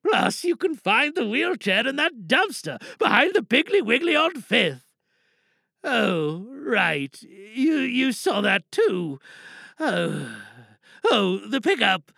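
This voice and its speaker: Comic audio drama: performer as reckless, self-righteous driver, indignant and wheedling, loud high swings, sharp tone. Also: very animated, takes it slowly, gravelly, dry recording, clean studio sound, full character voice. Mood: defensive, then agitated, defensive. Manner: indignant, mock-pious, wheedling excuses. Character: frantic, self-righteous reckless driver lying to a police officer.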